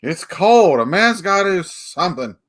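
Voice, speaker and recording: An actor playing a drunken, grumbling department-store parade Santa in a radio play, tipsy and defiant. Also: male voice, high voice, very animated, normal speed, a bit bright, heavily acted. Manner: tipsy, sing-song, grumbling. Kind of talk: 1940s-style radio play acting.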